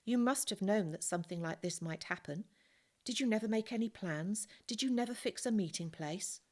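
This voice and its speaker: A woman reading aloud, voicing a caring older woman who gently urges a grieving man. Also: medium pitch, moderate pace, voice pushed up, natural voice. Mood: urging kindly.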